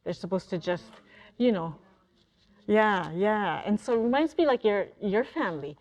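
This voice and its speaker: A woman's voice: mid-range voice, expressive, moderate pace, a little mellow. Chatty middle-aged woman leading a group talk. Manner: chatty, laughs often, restarts sentences. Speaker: author leading a talk story session. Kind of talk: casual group discussion. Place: library classroom.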